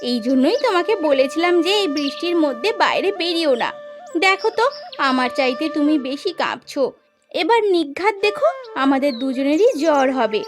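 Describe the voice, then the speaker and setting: A woman's voice: high voice, animated, normal speed, over music. A woman voicing a fretful, scolding teenage village bride in a cartoon, fussing and questioning. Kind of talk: cartoon voice acting. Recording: clear noise of rain behind.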